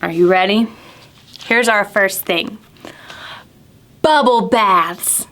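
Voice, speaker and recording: A woman presenting storytime to preschoolers, asking simple questions and stressing key words deliberately. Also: mid-range voice, fairly bright tone. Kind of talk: storybook reading and talking to young children.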